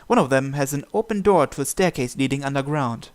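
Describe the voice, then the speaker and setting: A man's voice: medium pitch, expressive, a little fast. A man reading visual novel narration and dialogue aloud.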